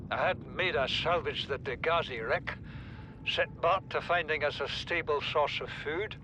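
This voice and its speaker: A man playing an overbearing, worried middle-aged father and expedition chief in a video game, speaking with indignant authority. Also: close to an everyday voice. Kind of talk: dramatic game voice acting. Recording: clear rumbling vehicle-like ambient noise.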